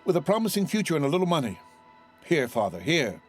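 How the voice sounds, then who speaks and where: A man's voice: fairly high pitch, big pitch swings, fast talker, soft background music, voice pushed up. A man voicing an earnest, devoted young sailor in a storybook, speaking with ardent courtesy. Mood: eager, caring.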